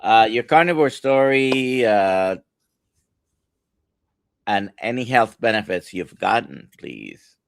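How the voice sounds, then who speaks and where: A man's voice: lowish voice, expressive, slow, creaky, long pauses, dry recording, studio quality. A man co-hosting a casual livestream as a doctor, greeting viewers and prompting the guest. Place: remote online livestream from Central Florida.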